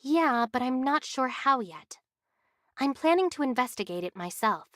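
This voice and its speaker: A woman's voice: sits high, lively intonation, normal speed, a bit bright, no echo, studio quality. A woman voicing a composed, practical young heroine in an anime game. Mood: composed, businesslike. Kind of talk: English anime game voice acting.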